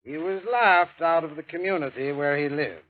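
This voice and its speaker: Actor as high-pitched scholarly professor, old radio. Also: male voice, lightly acted. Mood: grave, informative. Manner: measured, precise, formal lecturing. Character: scholarly professor recounting strange scientific history. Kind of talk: 1930s radio drama acting. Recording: hissy, narrow-band 1930s radio recording.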